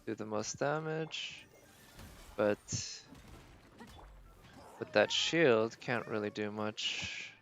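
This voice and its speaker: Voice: medium pitch, drawn-out words, gravelly, pauses often, fairly bright tone. A game livestream host giving casual live commentary, thinking aloud in a tentative, musing way.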